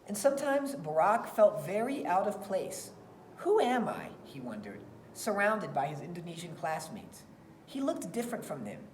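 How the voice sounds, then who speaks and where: A woman reading a children's picture book aloud in an expressive storytelling style. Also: lowish voice, normal speed, slight background noise. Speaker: read-aloud reader. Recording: roomy, echoey sound.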